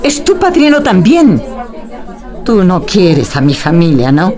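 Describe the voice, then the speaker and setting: A woman's voice: on the low side, wildly swooping pitch, unhurried, warm tone, a faint crowd. A woman acting a harsh, scornful older mother-in-law in a radio drama, with a Peruvian accent and an imperious, scolding manner. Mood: stern, scornful.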